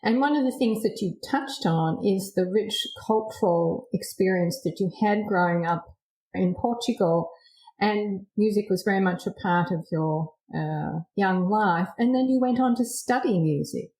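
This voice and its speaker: A woman hosts a podcast interview, asking curious questions in a mellow, warm voice. Her speech carries a roomy echo around it. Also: mid-range voice, unhurried. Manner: curious, reads background with brief hesitations. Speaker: podcast host.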